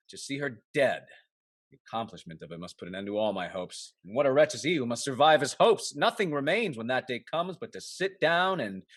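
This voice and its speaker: A man's voice: medium pitch, a bit bright, dry recording. A man acting a cynical, falsely fond husband in a Restoration comedy, with theatrical irony. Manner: theatrical, ironic.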